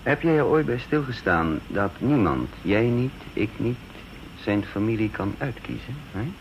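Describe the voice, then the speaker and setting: An actor playing a guarded, earnest intelligence chief in a radio drama, musing and hesitant, on a dull, noisy old recording. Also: male voice, on the low side, expressive, measured pace. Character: guarded male intelligence chief, flippant facade over gravity. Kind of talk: radio drama acting with first-person narration.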